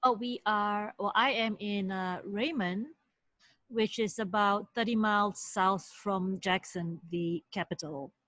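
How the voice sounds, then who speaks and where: A woman from Malaysia, a law professor, answering interview questions in a soft, hesitant way with frequent restarts. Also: medium pitch, steady tone, a little slow, no echo.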